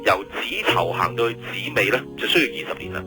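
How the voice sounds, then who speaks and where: A man acting an exuberant scientist from the future in a radio drama, with a Hong Kong Cantonese accent and an excitable way of explaining things simply. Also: fairly low pitch, a little fast, music in the background, lightly acted. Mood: eager explaining. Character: exuberant male scientist calling from twenty years ahead.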